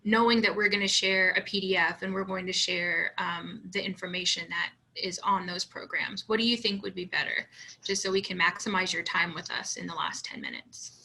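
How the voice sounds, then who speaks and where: Bright-voiced woman moderating. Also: fairly low pitch, fairly even intonation, vocal fry, rough recording. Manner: polite, measured, with ums. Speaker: session moderator. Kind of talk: moderating an online session.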